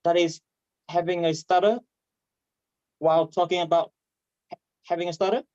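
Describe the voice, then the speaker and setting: A man from Vietnam with a Vietnamese accent and a stutter, performing stand-up comedy haltingly over a video call. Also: high-pitched, fairly even intonation, darkish tone, dry recording. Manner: hesitant, halting delivery with repeated words. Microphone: video call microphone. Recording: dull, muffled online call audio.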